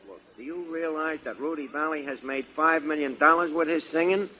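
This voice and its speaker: An actor playing a brash, streetwise schemer in 1940s radio comedy, with mangled grammar and stumbling hesitations, on a dull old recording. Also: male voice, on the high side, fairly quick, a little hiss or hum, lightly acted. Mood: brash, boastful. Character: brash, malapropism-prone male schemer and wise guy.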